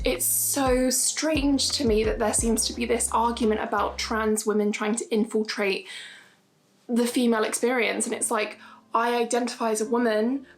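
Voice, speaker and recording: A young woman in her twenties talking straight to camera in a casual vlog, earnest and emphatic, repeating her points for stress. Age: 20s. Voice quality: high-pitched voice. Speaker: YouTuber.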